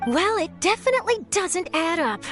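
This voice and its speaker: A woman playing an eager, sharp young journalist in a video game, brisk, polite and articulate. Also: very high-pitched, very animated, loud music behind the voice. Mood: suspicious, intent.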